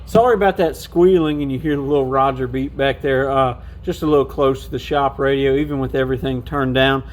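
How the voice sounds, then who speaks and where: A man's voice: mid-range voice, fairly even intonation, brisk, a little hiss or hum. A man giving a casual, hands-on product walkthrough in an informal, explanatory way. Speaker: radio shop reviewer.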